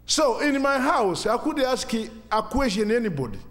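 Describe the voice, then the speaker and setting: A man in his sixties from Kenya with a Kenyan accent, a Swahili speaker giving a church testimony in halting, simple English. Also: very high voice, sing-song, a bit bright, long reverb tail. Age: 60s.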